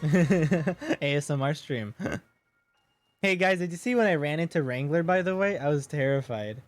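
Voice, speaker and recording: A deep-voiced man talks casually and jokingly to his viewers from a home streaming setup. A soft bed of game music sits low behind him. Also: lively intonation, unhurried. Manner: chatty, joking, reads viewer messages aloud.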